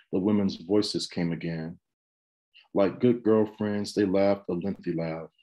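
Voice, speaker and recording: A man in his thirties reading poetry in a measured, deliberate way, in short phrases, over a video call. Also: deep voice, a little mellow, rough recording. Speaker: poet. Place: small room with bookshelves, indoors. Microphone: video call computer microphone.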